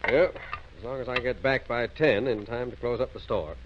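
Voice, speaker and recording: An actor playing a genial, insistent small-town druggist in a 1930s radio drama, chatty and folksy, on a muffled recording. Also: male voice, medium pitch, normal speed, natural voice. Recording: muffled old radio recording, faint noise.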